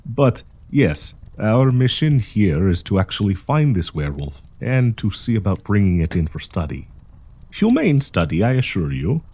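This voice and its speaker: Casting: male actor as genteel old professor, secretly predatory; deep, swooping delivery, deliberate and playful, for intimate roleplay audio. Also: very animated, mellow, breathy edge, heavily acted. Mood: genial, businesslike. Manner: courtly, teasing, chuckling, deliberate. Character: kindly old male professor hiding a predatory, possessive menace.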